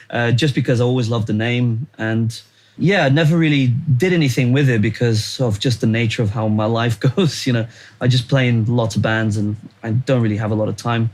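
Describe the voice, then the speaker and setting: A man's voice: mid-range voice, fairly quick, a little mellow. Young Brazilian man, Portuguese native, easygoing guest, hunts for words. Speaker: musician guest. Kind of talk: casual interview chat.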